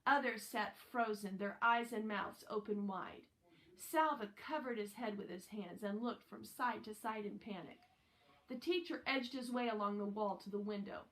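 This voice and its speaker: A woman's voice: fairly high pitch, low-quality recording. A woman narrating a children's novel aloud as a scripted reading. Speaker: audiobook reader.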